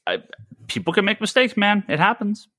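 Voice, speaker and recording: A man's voice: high voice, lively intonation, fairly quick, pushed and bright, dry recording, studio quality. An American man hosting a casual podcast discussion, giving opinions emphatically and stammering over repeated words. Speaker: podcast host; comic shop retailer.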